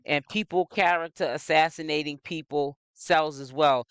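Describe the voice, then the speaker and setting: High-pitched, clear-voiced male commentator. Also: steady tone, moderate pace, a bit bright, dry recording. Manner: preachy, admonishing, repeats phrases for emphasis. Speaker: social media commentator, channel host. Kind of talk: opinionated gossip commentary monologue.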